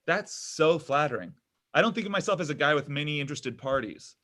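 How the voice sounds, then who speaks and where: A man's voice: a little high, expressive, a little fast, bright, forceful tone. An actor at a table read playing a needy, pushy thirty-something man on a date, whiny, defensive and over-explaining. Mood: awkward, defensive. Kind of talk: table read of a comedy pilot.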